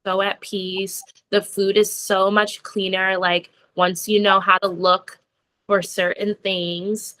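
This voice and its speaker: Bright-voiced chatty young American woman. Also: mid-range voice, level tone, slow pace. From United States. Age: young adult. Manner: chatty, lots of "like" fillers, laughs while talking. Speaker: interview guest. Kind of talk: casual conversation.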